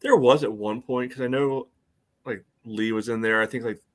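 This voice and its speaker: A man co-hosting a soccer podcast, chatting casually in a dry, wry and somewhat halting way. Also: mid-range voice, fairly even intonation. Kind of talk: casual sports chat.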